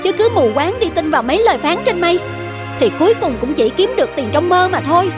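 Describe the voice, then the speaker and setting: Cartoon village wife, high voice, nagging and lecturing, music behind. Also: female voice, lively intonation, fast talker. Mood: reproachful. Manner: reproachful, lecturing. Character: scolding, sensible village wife. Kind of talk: cartoon folk tale dubbing.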